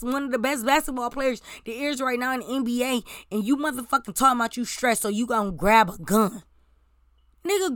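A woman in her forties with a slightly raspy voice, hosting casual celebrity gossip commentary in a blunt, profane, ranting way. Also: high-pitched, fairly bright tone, no room sound, clean studio sound.

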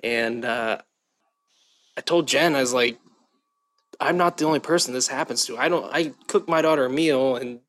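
A man's voice: medium pitch, moderate pace, pauses often, fairly bright tone. Easygoing young male podcast host. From Ohio. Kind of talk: casual conversation.

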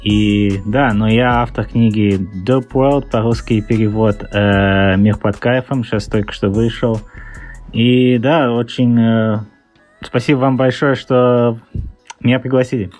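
A man in his thirties with an English-tinged Russian accent, a guest author answering interview questions in a halting way, often pausing to search for words. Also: mid-range voice, steady tone, darkish tone, soft background music. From born in Russia, raised in England. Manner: hesitant, searches for words, many fillers.

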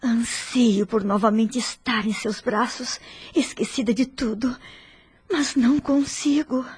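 A woman's voice: a little high, steady tone, measured pace, a little mellow, husky. An actress playing a hurt, dignified middle-aged wife and mother in a radio soap opera, with earnest, formal diction. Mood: wounded, sorrowful.

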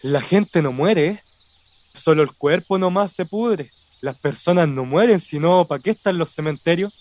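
A man's voice: a little high, measured pace, a little mellow, no room sound, natural voice. A man with a Chilean accent acting in a radio drama as a respectful, superstitious cemetery worker. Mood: earnest, solemn. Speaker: actor.

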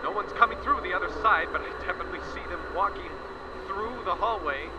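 A man's voice: sits high, natural voice. An actor playing a seasoned male war correspondent describing a strange place live, often stumbling and restarting. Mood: reporting. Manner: halting, stammering live description. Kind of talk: audio drama acting. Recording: dull, noisy radio-like sound.

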